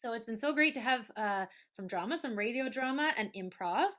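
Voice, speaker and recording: A woman's voice: fairly high pitch, expressive, brisk, fairly bright tone. A woman presenting a show in an informal, conversational style as its host.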